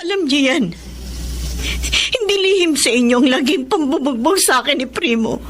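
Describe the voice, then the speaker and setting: High-voiced, anguished jailed woman. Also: expressive, normal speed, a little hiss or hum. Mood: desperate, pleading. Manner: emotional, pleading, stammering when startled. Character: battered, jailed former housemaid, anguished and defensive. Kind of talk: Tagalog radio drama acting. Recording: dramatic music behind.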